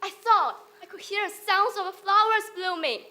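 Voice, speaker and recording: A woman's voice: extremely high pitch, moderate pace, sharp tone. A female performer reading scripted story narration in a readers theater style.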